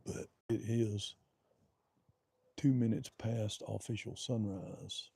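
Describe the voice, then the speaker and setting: A middle-aged man from Oklahoma with a drawling accent talks in a low, deep, very mellow and husky voice. He chats in a folksy, rambling way and greets viewers by name during a phone live stream. The sound is dry and close. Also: steady tone, slow, no echo. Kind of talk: casual live-stream chat and storytelling. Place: outdoor deer blind.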